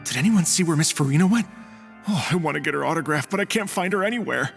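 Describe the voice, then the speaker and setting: A man's voice: fairly high pitch, lively intonation, quick pace, vocal fry, music in the background. A man playing an eager young fan in a video game. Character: eager young male fan seeking autographs.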